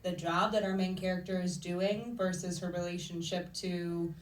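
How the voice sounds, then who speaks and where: A woman's voice: lowish voice, fairly even intonation, moderate pace. A woman interviewing conversationally, with a thoughtful, encouraging way of asking questions. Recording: echoey room, distant microphone.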